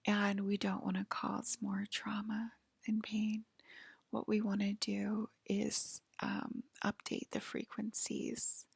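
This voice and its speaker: A woman in a soft-spoken, whispered ASMR roleplay, breathy and halting, explaining things in a hushed, soothing, clerk-like way. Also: mid-range voice, no echo.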